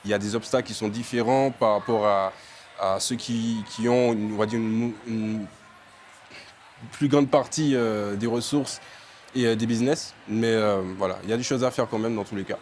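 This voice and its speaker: A man's voice: fairly low pitch, steady tone, normal speed, darkish tone, slight background noise. A young man from Martinique, an entrepreneur, answering interview questions with many fillers and restarts.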